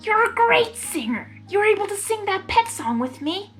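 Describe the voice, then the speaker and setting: Woman voicing a brash, high, animated cartoon pony. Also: normal speed, soft background music. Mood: reassuring. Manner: bold, casual, upbeat. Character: brash, encouraging female cartoon pegasus athlete pony.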